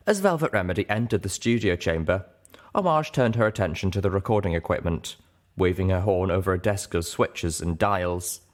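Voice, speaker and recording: A man's voice: low voice, lively intonation, normal speed. A man reading a first-person story aloud as an audiobook narrator, in scripted narration.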